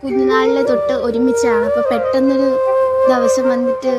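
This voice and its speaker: A woman's voice: sits high, flat delivery, brisk. A young woman, a childhood friend of the bride, speaking tenderly on camera from a distance. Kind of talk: casual on-camera interview. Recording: loud music behind.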